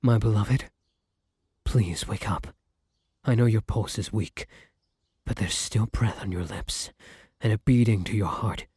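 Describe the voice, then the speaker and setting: A man's voice: fairly low pitch, darkish tone, no echo, studio quality. A man playing a hungry vampire in an ASMR roleplay, theatrical and intimate, predatory yet devoted to his beloved. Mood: desperate, grieving. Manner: theatrical, drawn-out endearments, breathy whispers. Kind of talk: dramatic ASMR roleplay acting.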